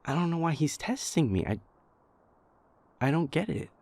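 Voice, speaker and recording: A man playing an anxious, exasperated young vampire prince in an intimate audio roleplay, speaking in a halting, stammering way. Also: fairly high pitch, expressive, a little mellow, dry recording. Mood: flustered, exasperated. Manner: halting, stammering, venting, sighing pauses.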